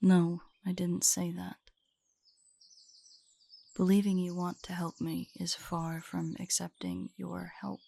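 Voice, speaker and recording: Woman voicing a slow, husky, haughty spider-woman. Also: on the low side, completely flat delivery, mellow, exaggerated voice. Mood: wary, grudging. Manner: formal, measured, haughty. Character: aloof, guarded female drider, ancient, reluctantly fond. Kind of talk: intimate audio roleplay acting.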